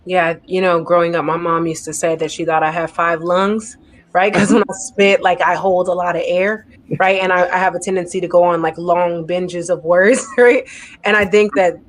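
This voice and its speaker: A woman poet on a discussion panel, talking in an energetic, emphatic way and often checking in with "right?". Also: fairly low pitch, moderate pace.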